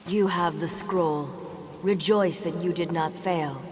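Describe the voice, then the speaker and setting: Imperious mistress in a cavernous reverb. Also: female voice, fairly low pitch, steady tone, crowd noise. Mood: solemn, commanding. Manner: slow, imperious, formal. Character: commanding, coldly solemn fantasy mistress. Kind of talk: scripted video game acting. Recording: long reverb, murmuring game sounds behind.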